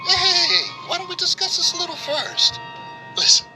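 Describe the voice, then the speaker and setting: An actor playing a shifty, nervous smuggler in a video game, pleading and slippery in manner. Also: male voice, extremely high pitch, big pitch swings, moderate pace, music in the background, poor audio. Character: shifty, nervous smuggler bargaining his way out of trouble.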